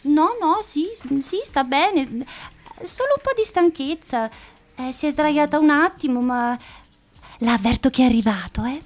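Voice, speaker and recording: A woman's voice: high voice, lively intonation, measured pace. A woman playing Gina, a scheming woman who coaxes and reassures too sweetly, in a radio play. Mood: sly. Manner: coaxing, falsely reassuring. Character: scheming woman Gina, sly and overly solicitous. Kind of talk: radio drama acting.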